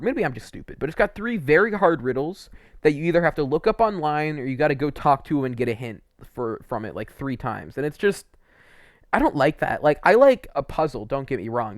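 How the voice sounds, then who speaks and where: A man's voice: on the high side, no room sound, studio quality. A young man reviewing video games in a casual, opinionated, conversational way, leaning on words for effect. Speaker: video game reviewer and host. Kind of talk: casual opinionated ranking commentary.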